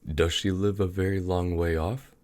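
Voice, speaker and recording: A man voicing a sly, courteous big wolf in a storybook reading, feigning gentleness. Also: low-pitched, steady tone, normal speed, pitched above the performer's own voice, natural voice. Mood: sly politeness.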